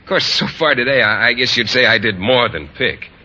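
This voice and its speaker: An actor playing an earnest, naive American biology teacher in an old radio sitcom, boyish and a bit hesitant. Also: male voice, mid-range voice, fairly quick, pushed and bright. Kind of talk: 1940s-1950s American radio sitcom acting. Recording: muffled old radio broadcast, faint noise.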